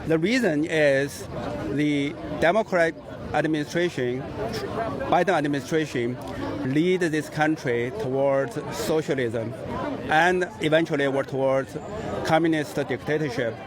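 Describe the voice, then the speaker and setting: Man interviewed on a busy street. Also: fairly high pitch. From China. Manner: earnest, halting, explaining. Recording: street crowd noise.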